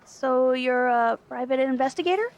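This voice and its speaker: An actress playing a friendly, eager young art student in an audio drama, chatty and welcoming. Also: female voice, high voice, moderate pace. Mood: friendly, curious. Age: young adult.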